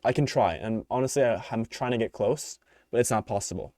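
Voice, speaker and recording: A man's voice: medium pitch, fairly quick, darkish tone, no room sound, studio quality. A young man hosting a solo podcast, giving his opinions in a rambling way full of fillers and restarts, his voice growing tired. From United States. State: voice tiring. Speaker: podcast host. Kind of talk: unscripted solo commentary.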